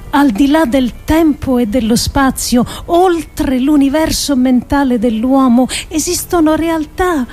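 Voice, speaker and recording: An actress performing a theatrical monologue as a grave, idealistic political thinker, with deliberate, emphatic phrasing and dramatic pauses. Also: female voice, a little high, dark tone. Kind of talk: theatrical scripted monologue adapted from philosophical essays.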